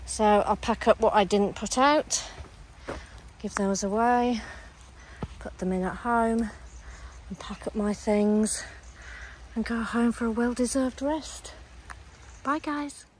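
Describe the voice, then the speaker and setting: A middle-aged woman chatting casually while she works her vegetable plot outdoors, her voice a little croaky. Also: a little high, takes it slowly, a little hiss or hum, poor audio. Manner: chatty, down-to-earth, talks while working. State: slightly croaky. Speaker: allotment gardening vlogger. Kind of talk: casual chat to camera. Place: outdoors in allotment and back garden. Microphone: phone camera held while walking.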